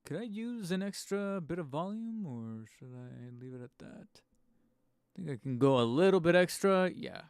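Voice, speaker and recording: A man hosting a live stream from home on a studio microphone, a little hoarse and tired, rambling casually with fillers and restarts and poking fun at himself. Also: on the high side, animated, normal speed, no room sound. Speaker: channel host streaming live. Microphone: studio microphone close up.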